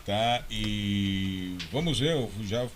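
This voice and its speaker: A man with a Brazilian Portuguese accent who hosts an interview, often agreeing with his guest and thanking him warmly. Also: on the low side, drawn-out words, slight background noise. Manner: affirming, adds short agreeing remarks. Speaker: interview host. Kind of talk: casual interview talk.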